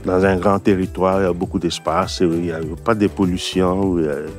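A middle-aged man from Congo, speaking deliberately and carefully while seated outdoors. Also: low voice, little pitch movement, darkish tone, soft background music. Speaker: interviewee.

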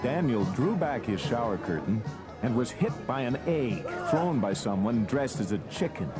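A man's voice: medium pitch, big pitch swings, moderate pace. A man narrating a read-along storybook in a scripted style. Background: loud music.

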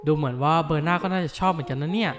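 A man voicing a bookish, easily flustered teenage schoolboy in an animated dub, grumbling to himself. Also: fairly high pitch, racing, over music, close to an everyday voice. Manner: flustered, grumbling inner monologue. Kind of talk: anime dub acting.

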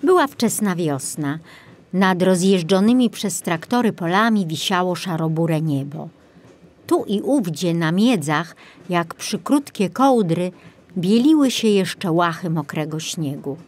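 A woman's voice: fairly low pitch, expressive, measured pace, darkish tone. A woman reading a children's audiobook as scripted narration.

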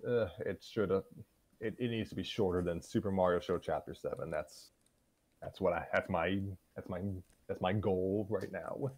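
A man in his twenties chatting casually as a show host giving an update, halting and wry, often restarting his sentences. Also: low-pitched, a little mellow. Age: 20s. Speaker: host of a story-reading voice show. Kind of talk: casual chat, project update.

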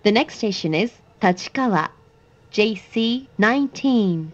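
Very slow, measured woman announcing trains. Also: medium pitch, animated, highs cut off. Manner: measured, formal, carefully articulated. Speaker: English-language train announcer. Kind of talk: scripted recorded announcement.

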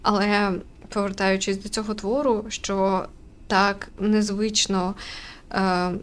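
A woman from Ukraine giving casual, chatty let's-play commentary, halting as she thinks aloud and often giggly. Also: mid-range voice, little pitch movement, clear, resonant tone. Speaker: gaming streamer. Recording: faint room noise and ambience.

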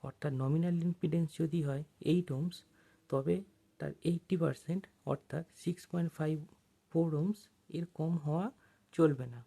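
A person narrating an informal technical tutorial as an instructor, explaining things step by step with pauses. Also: medium pitch, steady tone, gravelly, warm tone.

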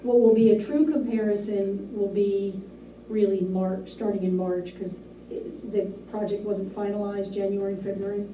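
A woman reporting to a town board in a measured, businesslike way with frequent ums, recorded in a small municipal meeting room by a distant camera mic. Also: mid-range voice, little pitch movement, dark tone, echoey room, muffled recording, a little hiss or hum. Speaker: town administrator. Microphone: far room camera.

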